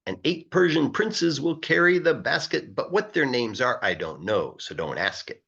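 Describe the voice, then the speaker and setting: A man reads rhyming children's verse aloud in a playful, emphatic way. His mid-pitched voice moves up and down in a very animated way and has a rough, husky grain. The recording is a little dull. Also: moderate pace. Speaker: English teacher reading aloud. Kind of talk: expressive read-aloud of a rhyming children's book.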